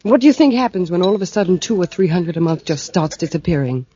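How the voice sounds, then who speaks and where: A woman's voice: on the low side, expressive, fast talker, mellow, husky. An actress playing a cool, guarded married woman with a criminal past in an American old-time radio drama, speaking in a clipped, sardonic, world-weary way. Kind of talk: 1940s radio drama acting. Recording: dull old-time radio recording with music.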